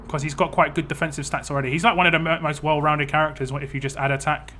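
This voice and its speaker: A man's voice: on the high side, little pitch movement, quick pace, bright, forceful tone. A man casually chatting on a live stream, reading viewer comments aloud and answering them as he plays. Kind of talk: casual live stream chat while playing a mobile game.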